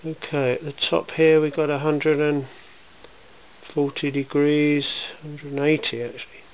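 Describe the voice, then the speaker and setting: A man giving an informal, matter-of-fact hands-on demonstration in a workshop, speaking in a measured way. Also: fairly high pitch, little pitch movement, clear, resonant tone. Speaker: welding demonstrator. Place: indoor cluttered workshop. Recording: hissy, noisy amateur camera sound.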